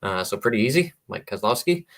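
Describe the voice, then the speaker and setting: A man, a guest author, talking in a relaxed interview with many pauses and fillers and frequent laughs. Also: lowish voice. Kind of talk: casual interview conversation. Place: radio or podcast interview.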